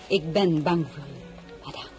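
A woman's voice: low-pitched, measured pace, a little mellow, faint music behind. A woman playing a proud, candid young royal woman in a radio drama, speaking formally and with restraint. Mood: guarded, resolute. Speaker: lead actress and first-person narrator. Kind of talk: radio drama acting and narration.

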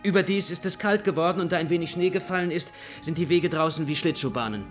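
A man's voice: on the high side, fast, faint music behind, lightly acted. An actor playing a reluctant, grumbling male companion in a literary radio drama, declaring his words for all to hear. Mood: reluctant, protesting. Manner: loud, declaring.

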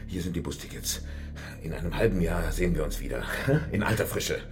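An actor playing an agitated, wheedling fixer in a radio crime drama, urgent and insistent, dropping into confiding asides. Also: male voice, low voice, fairly quick, faint music behind. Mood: placating, brisk. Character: wheedling, agitated male fixer urging his comrades to flee.

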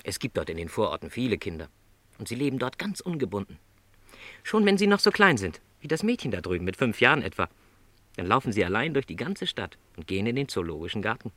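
A man playing a lonely, middle-aged travelling salesman in a radio play, polite, gentle and resigned, reflecting hesitantly. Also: lowish voice, big pitch swings, quick pace, no room sound. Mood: wistful, reflective. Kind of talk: German radio play dialogue.

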